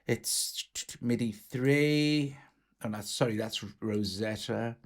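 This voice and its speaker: A man giving an informal, enthusiastic walkthrough as a music software tutorial presenter, talking casually. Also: medium pitch, slow pace. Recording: music playing behind the voice. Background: drum machine and instruments.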